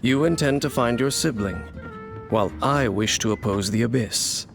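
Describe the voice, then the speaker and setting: A man playing a brooding, mysterious wanderer in a video game, speaking in a grave, measured, formal way. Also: medium pitch, gravelly, lightly acted. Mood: grave, determined. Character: brooding, mysterious male wanderer opposed to dark forces. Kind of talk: dramatic video game dialogue. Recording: game music behind.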